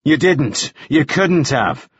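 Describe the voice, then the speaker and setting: A man voicing a suspicious, exasperated man who questions forcefully and scornfully in an audiobook. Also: a little high, expressive, takes it slowly, breathy edge, no room sound, dull audio, exaggerated voice. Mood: pressing, accusing. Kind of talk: audiobook character acting.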